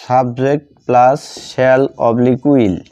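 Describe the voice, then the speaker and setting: Bengali guy teaching grammar, kinda muffled lo-fi audio, slow n halting, repeats bits as he writes. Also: mid-range voice, little pitch movement, mellow. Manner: halting, repeats phrases in short fragments. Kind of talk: step-by-step grammar lesson, spoken while writing. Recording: dull lo-fi sound.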